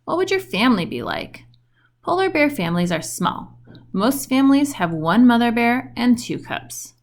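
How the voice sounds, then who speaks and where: A woman reading a children's book aloud in an expressive storytelling style with questioning intonation. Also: fairly high pitch, moderate pace.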